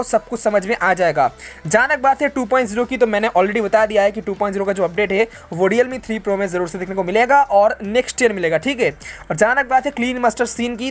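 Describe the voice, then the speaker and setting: Indian tech host answering viewer questions casually. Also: mid-range voice. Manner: conversational, explanatory, addresses listeners as bro and friends; mixes English tech terms into speech.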